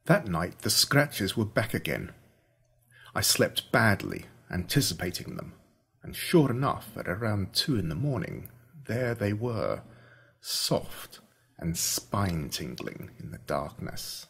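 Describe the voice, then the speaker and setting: Aussie guy reading a story out loud, really expressive, deepish husky voice that moves around a lot. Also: slow. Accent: Australian. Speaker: audiobook narrator. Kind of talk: scripted first-person story narration.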